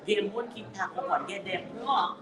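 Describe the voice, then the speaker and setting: A woman's voice: mid-range voice, normal speed. A woman hosting an on-location interview, speaking in an upbeat, emphatic way. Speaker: event interviewer and podcast host. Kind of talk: on-location interview, switching between English and Creole. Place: fundraiser event venue. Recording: echoey room with loud music.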